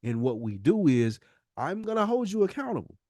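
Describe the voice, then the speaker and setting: A man's voice: sits high, sing-song, moderate pace, darkish tone, no room sound, clean studio sound. An American man co-hosting a podcast in a candid heart-to-heart, speaking earnestly and emphatically and repeating himself to make his point. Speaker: podcast co-host.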